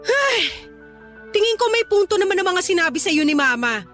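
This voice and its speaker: A woman's voice: very high-pitched, sing-song, moderate pace, fairly bright tone, soft background music, exaggerated voice. A woman acting a weary, exasperated wife who pleads and argues in a radio drama. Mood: concerned, reasoning. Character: weary working mother defending her own mother to her partner.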